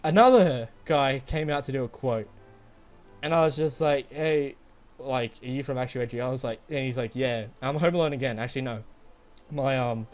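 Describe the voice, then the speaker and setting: A young man chats casually, as if telling friends a story. His talk rambles and is full of swearing. His voice sits at a middling pitch, with music playing behind it. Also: moderate pace. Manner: profane, rambling. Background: background music.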